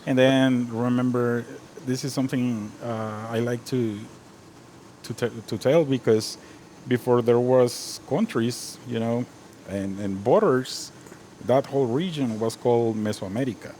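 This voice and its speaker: A man's voice: medium pitch, fairly even intonation, a little slow, dark tone, slight background noise, rough recording. A man from Guatemala, a restaurant chef, chatting casually about his cooking with many "you know" fillers. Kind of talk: casual interview chat.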